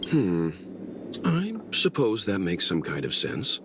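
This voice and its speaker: An easygoing skeptic from a video game. His low voice moves slowly, with lively swings in pitch and a dry, hesitant delivery. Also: exaggerated voice. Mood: doubtful. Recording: game audio with clear background noise.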